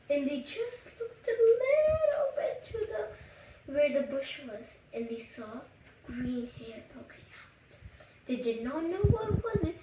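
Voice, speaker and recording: Slow, high-pitched storyteller in an echoey room. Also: dark tone. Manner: halting, repeats words, childlike phrasing. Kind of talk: improvised bedtime storytelling with acted lines. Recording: echoey room, distant mic, faint noise, clicks.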